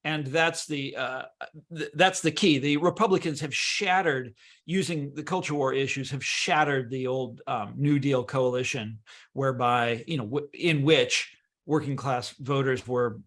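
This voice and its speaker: An American man from Kansas talking as an interview guest, animated and emphatic, often repeating his words as he explains. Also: mid-range voice, a little slow. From Kansas, United States. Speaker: guest author and historian. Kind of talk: conversational interview.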